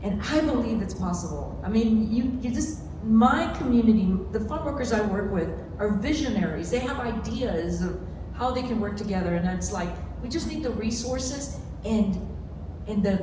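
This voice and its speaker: A woman speaking off the cuff as a panelist and community organizer, with halting, emphatic phrasing that repeats words. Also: medium pitch. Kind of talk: panel discussion answers, unscripted. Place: large echoey room at a live panel event. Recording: echoey hall PA, distant, faint noise.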